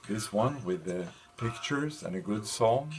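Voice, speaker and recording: A man presenting an informal slide lecture as a teacher, speaking in a hesitant, halting way with frequent pauses. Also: fairly low pitch, lively intonation, darkish tone, faint music behind. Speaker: university lecturer presenting a lesson. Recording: clear background noise.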